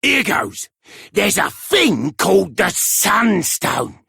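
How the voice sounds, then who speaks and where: An actor playing a cheeky, boisterous crook in a video game, with a raspy Cockney voice and a wheedling manner. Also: male voice, high-pitched, big pitch swings, takes it slowly, sharp tone, no room sound, studio quality, exaggerated voice. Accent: English, Cockney. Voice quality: raspy, gravelly. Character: cheeky male crook claiming to have gone straight.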